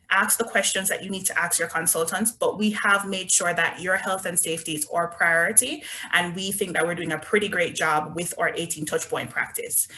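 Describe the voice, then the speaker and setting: A woman's voice: on the low side, pushed and bright, a little hiss or hum. A woman with a Jamaican accent, presenting resorts in an upbeat, chatty sales talk over a video call. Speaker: resort business development manager. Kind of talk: online travel presentation.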